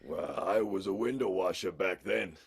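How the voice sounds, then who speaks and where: Male actor, saucy old stage contortionist, raspy, full of gravel, folksy drawl of phrasing, improvised radio comedy. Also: low voice, moderate pace, full character voice. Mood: bawdy, nostalgic. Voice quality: gravelly, husky. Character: bawdy, saucy aging vaudeville contortionist performer.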